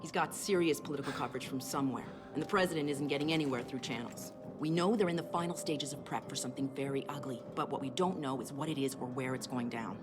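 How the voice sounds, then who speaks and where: An actress playing a steely undercover government agent, firm, insistent and coolly manipulative, in a dramatic game cutscene. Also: female voice, rumbling low, quick pace, hardly any pauses, music in the background. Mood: urgent. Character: steely, urgent female government mole, cool and manipulative.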